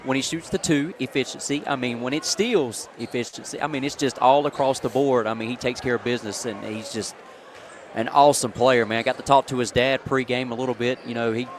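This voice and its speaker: A man's voice: mid-range voice, steady tone, fairly quick. A man giving casual, folksy basketball color commentary on a live radio broadcast. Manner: folksy, conversational, peppered with "man". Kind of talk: casual pregame analysis. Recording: noisy gym ambience behind live broadcast.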